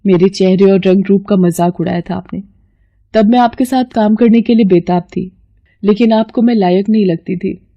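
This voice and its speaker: A woman voicing an earnest, polite young aspiring model in a moral story, speaking haltingly and mixing English into Hindi. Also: mid-range voice, dull audio, lightly acted. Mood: composed, firm. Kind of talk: dramatised moral-story acting.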